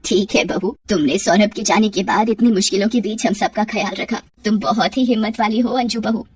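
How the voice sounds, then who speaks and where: A woman's voice: medium pitch, steady tone, brisk, slightly rough, lightly acted. A woman voicing an anxious, caring elderly mother-in-law in a cartoon story. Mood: approving. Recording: roomy echo, distant from mic.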